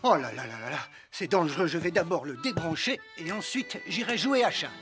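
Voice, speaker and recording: A man's voice: a little high, animated, a little fast, music in the background, natural voice. A man playing an easygoing, playful dad in a children's cartoon. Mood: concerned.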